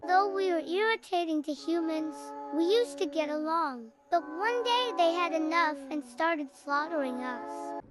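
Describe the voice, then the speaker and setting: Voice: extremely high pitch, animated, unhurried. A performer voicing a small, vicious forest creature who makes its threats in a matter-of-fact tone. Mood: menacing. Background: loud music.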